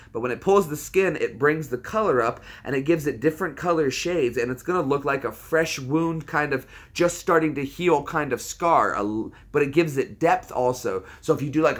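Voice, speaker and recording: A man's voice: mid-range voice, a bit bright. A man in his thirties hosting a livestream, chatting casually with viewers in a rambling, laid-back way. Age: 30s. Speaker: livestream host.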